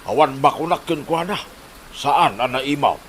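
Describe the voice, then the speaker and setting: A man's voice: medium pitch, expressive, measured pace, a bit bright, a little hiss or hum. A man acting a teasing, admiring village father in an Ilocano comedy drama, with an Ilocano accent.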